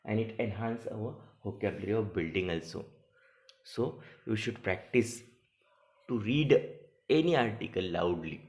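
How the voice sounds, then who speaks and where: A man giving an instructional English lesson, explaining didactically and restating key phrases as a teacher. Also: medium pitch. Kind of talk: instructional lecture, reading and explaining an assignment. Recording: echoey room sound.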